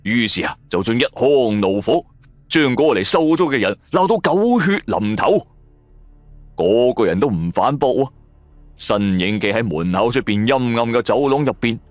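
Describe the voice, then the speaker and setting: A man giving suspenseful, expressive narration of a scripted horror drama. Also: mid-range voice, moderate pace, no room sound, soft background music. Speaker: storyteller narrator.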